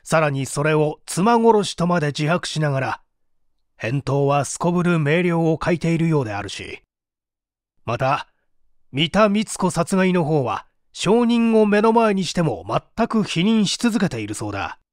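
An actor playing a composed male prosecutor who questions a suspect in a measured, formal and probing way, in a radio drama. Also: on the high side, sing-song, no room sound, clean studio sound. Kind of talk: dramatized mystery reading.

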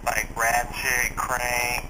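A male store employee handles a customer by phone. His voice is medium in pitch, and he stays polite and patient while hesitating over answers. The phone recording is plainly noisy. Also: completely flat delivery, extremely slow. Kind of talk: customer service phone call. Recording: noisy phone line, secondhand capture.

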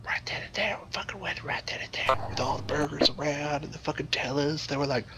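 Gravelly man telling a crude, rambling story. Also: on the high side, fairly quick, pushed and bright, whispered. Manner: rambling, profane, theatrical. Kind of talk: improvised crude comic storytelling.

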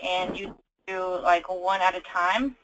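A woman on a conference call casually explaining a software problem as a trainee, informal and a little hesitant. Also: fairly low pitch, steady tone, a little slow, a little hiss or hum, poor audio. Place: conference call with screen share.

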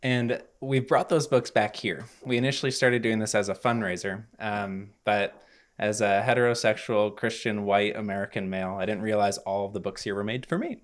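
A man in his thirties presenting his charity's work to a home audience, earnest and measured, with frequent ums. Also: fairly low pitch, fairly even intonation. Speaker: nonprofit speaker. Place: living room with stone fireplace, indoors. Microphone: handheld mic.

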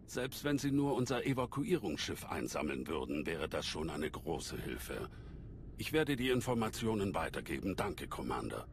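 Calm old alien master, low voice. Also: male voice, slight background noise, heavily acted. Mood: grave, courteous. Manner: measured, formal, thoughtful. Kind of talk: video game cutscene acting.

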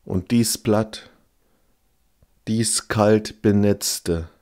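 A man's voice: on the low side, fairly even intonation, extremely slow, a little mellow. A man reciting a poem as a reader. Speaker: poetry reader. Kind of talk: slow poetry recitation.

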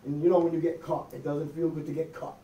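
A man's voice: on the high side, flat delivery, fast, dark tone, muffled recording. Man preaching from far in an echoey hall. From United States. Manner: conversational preaching, frequent "okay?" and "amen?" checks. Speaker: pastor. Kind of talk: sermon. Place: small church hall with wood-paneled wall. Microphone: far camera, no visible mic.

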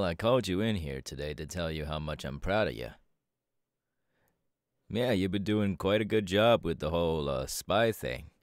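A laid-back superhero mentor acted by a man speaks in a low voice for an ASMR roleplay. He is proud and reassuring, drawling casually with hesitant pauses. The sound is dry, with no room around it. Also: no echo. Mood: proud, easygoing.

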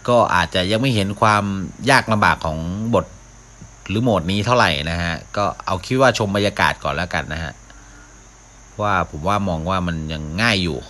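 A man's voice: low-pitched, animated, brisk, bright, forceful tone. A man giving casual live commentary as a gaming video host, often talking to himself as he plays. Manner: mouths sound effects, talks to himself while playing.

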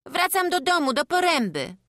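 Kobieta grająca chłopskiego chłopca z gwarą. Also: female voice, high-pitched, sing-song, normal speed, a bit bright, no echo, studio quality. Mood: earnest. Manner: rustic village dialect, earnest. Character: small peasant boy, humble and wary. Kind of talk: audiobook acting.

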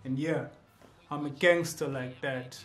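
A man's voice: fairly high pitch, steady tone, unhurried, reverberant, soft background music. A young man with a South African accent, casually introducing himself on his video channel with playful asides. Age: young adult. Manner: playful, joking asides, switches into other languages. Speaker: YouTuber. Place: small indoor room with shelves. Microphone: camera at close range.

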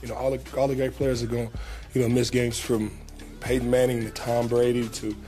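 A young man speaks loosely into a table microphone in a team press room, circling back with fillers and repeated phrases. His voice sits at an ordinary male pitch, and a faint trace of music lies underneath. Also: fairly quick. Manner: relaxed, casual, many fillers and repeats. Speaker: pro football player at a press conference. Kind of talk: press conference answers. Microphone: table mic in front.

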